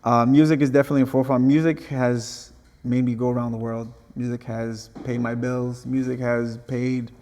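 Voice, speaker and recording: A man's voice: mid-range voice, little pitch movement, moderate pace, a little mellow. Angolan-born man in a reverberant room. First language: Portuguese. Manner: earnest, restarts phrases, laughs easily. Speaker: guest artist. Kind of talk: casual interview chat.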